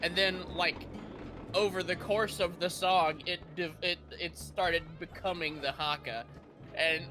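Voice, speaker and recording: High-voiced rambling young-sounding man. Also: fairly even intonation, measured pace, over music. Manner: rambling, stumbling, many "like"s. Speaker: stream guest. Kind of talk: casual chat.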